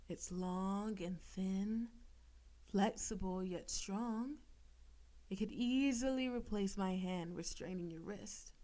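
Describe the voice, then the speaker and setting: Slow, possessive demon girlfriend, voiced by a woman. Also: medium pitch, lightly acted. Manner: slow, teasing, softly commanding, lapsing into breathy whispers. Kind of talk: intimate audio roleplay addressed to the listener.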